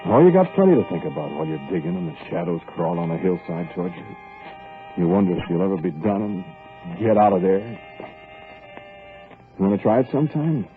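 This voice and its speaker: A deep, hard-edged robber on the run tells his story straight to the listener. He talks in gruff, clipped phrases in an old 1940s radio play, heard through a thin, tinny broadcast with music underneath. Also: very animated, a little fast, long pauses. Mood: bitter. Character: hard-bitten male robber on the run, tense and curt. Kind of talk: 1940s radio drama acting with narration.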